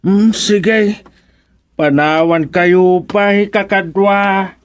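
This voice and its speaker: A man playing a grumbling, reluctant villager in an Ilocano radio comedy drama, halting and hesitant, with an Ilocano accent. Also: high-pitched, clean tone, no room sound. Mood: sheepish, hesitant.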